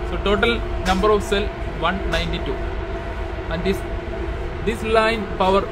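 A young man vlogging a work tour of a ship's battery room, explaining plainly with filler pauses, recorded on a handheld phone. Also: high-pitched, steady tone, heavy background noise. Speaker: ship electrician vlogger. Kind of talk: casual work-tour vlog. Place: indoor ship battery room, hard walls.

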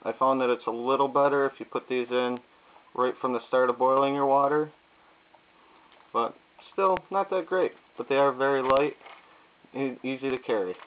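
A man casually talking through camping coffee methods at a table indoors, pausing often with "uh" and "um". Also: mid-range voice. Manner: plain, unhurried, frequent "uh" and "um" pauses. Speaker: YouTube vlogger. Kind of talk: casual gear demonstration. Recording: tinny lo-fi audio with faint hiss.

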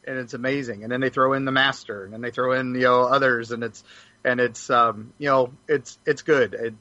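A man's voice: mid-range voice, pushed and bright, no echo, dull audio. A man talking as an enthusiastic, rambling panelist in a casual fan chat. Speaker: podcast panelist.

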